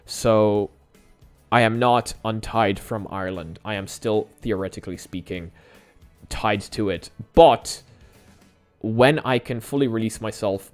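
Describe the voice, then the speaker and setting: A man's voice: lowish voice, smooth. A man in his twenties, born in Poland and native in Polish, chatting candidly on a live stream and answering viewers with easy laughs. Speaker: YouTuber.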